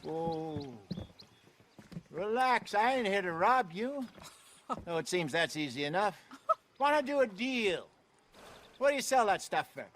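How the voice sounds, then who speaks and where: Sly drawling outlaw, high voice, faint hiss behind. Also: male voice, very animated, unhurried, long pauses, a bit bright, exaggerated voice. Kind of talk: video game cutscene acting.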